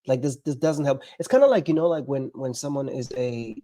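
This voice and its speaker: Hesitant man with a mellow voice. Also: mid-range voice. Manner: halting, hesitant, stacks filler words. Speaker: guest. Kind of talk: casual live discussion.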